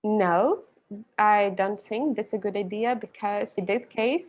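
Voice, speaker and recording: A woman's voice: mid-range voice, measured pace. A young woman with a Ukrainian accent, a guest expert on a video call interview, explaining her approach with light laughs mid-sentence. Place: indoor room with a large plant. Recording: slightly dull video call audio.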